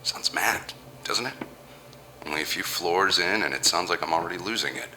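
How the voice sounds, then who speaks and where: A man's voice: deep voice, normal speed, sharp tone, slight background noise, poor audio. An actor playing a powerful, nervous businessman who talks to himself in a rambling, sardonic way, narrating an audio horror drama. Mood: wry, uneasy. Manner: rambling self-talk, sardonic, jittery. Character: powerful businessman, alone, nervous, self-mocking, slowly unravelling. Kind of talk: first-person audio horror monologue.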